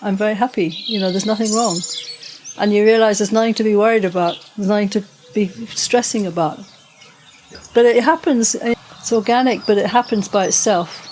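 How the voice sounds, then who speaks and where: A woman's voice: medium pitch, fairly even intonation, normal speed, a little hiss or hum. Older woman telling her story in an interview. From Tanzania. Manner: rambling, warm recollection with frequent restarts and soft laughs. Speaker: podcast guest. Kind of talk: relaxed conversational storytelling.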